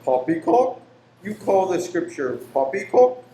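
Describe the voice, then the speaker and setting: A man's voice: sits high, sing-song, unhurried, warm tone. A man playing a stern, pious, secretive lodger in a radio play, speaking in formal, old-fashioned phrases. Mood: preaching. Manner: formal, archaic, preachy phrasing. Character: stern, pious, secretive male lodger preaching against pleasure. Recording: echoey room with faint noise.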